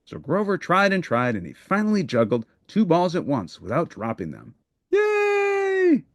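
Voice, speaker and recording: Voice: medium pitch, very animated, normal speed, no room sound. A storybook narrator reading a children's book aloud in a scripted narration style.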